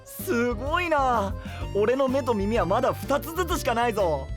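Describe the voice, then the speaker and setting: A naive young traveling knight in an anime audio drama. The delivery is boyish and highly animated, full of earnest exclamations, with music clearly behind it. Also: male voice, normal speed. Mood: friendly. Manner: wide-eyed, boyish, exclamatory.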